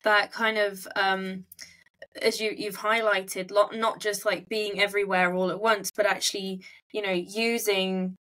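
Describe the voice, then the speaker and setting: A woman hosting a conversational interview, reflecting on points and softening them as she goes. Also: medium pitch. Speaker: podcast host, interviewer.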